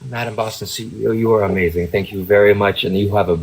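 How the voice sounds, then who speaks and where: A man calling in to a livestream for a comic roleplay, speaking in an effusive, flattering, halting way. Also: fairly low pitch. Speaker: caller.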